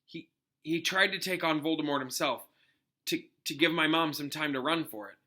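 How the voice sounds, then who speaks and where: A man voicing a teenage wizard boy, earnest and shaken but determined, with a halting manner, in a storybook reading. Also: on the high side, pushed and bright. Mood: shaken, subdued. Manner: halting, stammering when upset.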